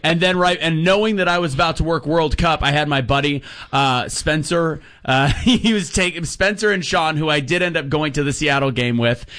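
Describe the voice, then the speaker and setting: A man in his thirties with a loud voice, telling energetic stories as a guest on a talk radio show. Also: fairly high pitch, moderate pace, hardly any pauses. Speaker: guest, crowd hype man.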